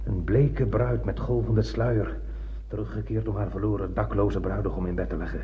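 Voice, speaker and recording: An actor playing an obsessed, blind older spaceship captain in a radio drama, declaiming poetically and grandly. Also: male voice, on the low side, steady tone, moderate pace, slight background noise, natural voice. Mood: grand, commanding. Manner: declamatory, poetic, swinging from hushed to ranting. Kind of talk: theatrical radio drama monologue. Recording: muffled old radio play recording.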